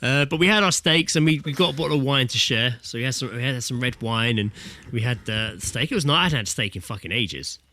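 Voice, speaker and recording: A man's voice: mid-range voice, animated, a little fast, bright, forceful tone, slight background noise. A man with a southern English accent co-hosting a casual comedy podcast, telling rambling, jokey stories in a chatty way. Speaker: podcast co-host.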